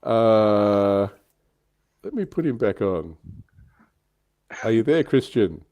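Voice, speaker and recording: A man's voice: on the low side, sing-song, slow pace, darkish tone. A middle-aged man hosting a livestream in a casual, conversational way. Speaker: livestream host. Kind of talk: casual chat.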